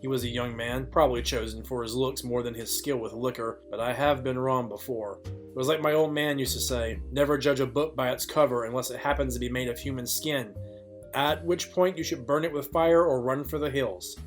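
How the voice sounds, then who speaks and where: A man's voice: mid-range voice, steady tone, fairly quick, faint music behind, lightly acted. A man playing a wry, businesslike private detective narrating and questioning in a noir audio play. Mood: wry, brooding.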